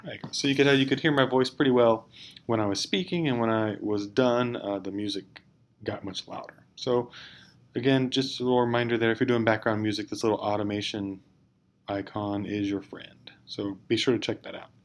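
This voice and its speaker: A man's voice: on the low side, fairly even intonation, fairly quick. A man narrating a casual instructional screencast, explaining step by step in an informal, hesitant way. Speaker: teacher giving software tutorial. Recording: clear background noise.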